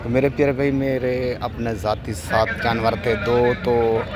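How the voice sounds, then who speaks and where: A middle-aged man, a goat farmer, walks through an open-air livestock market, filming on his phone. He talks at a slow, unhurried pace in a mid-range voice. He keeps returning to the same tag question as he shows the animals. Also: mid-range voice, level tone, crowd noise. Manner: slow, repetitive, ends phrases with a tag question. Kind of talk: walking tour vlog commentary.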